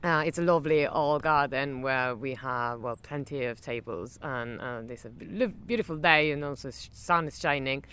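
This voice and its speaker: Argentine-accented woman, Spanish native, middle-aged, smooth resonant tone, halting speech with many fillers, sitting in an outdoor garden. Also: rumbling low, a bit bright. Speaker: wine estate general manager. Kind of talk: conversational interview.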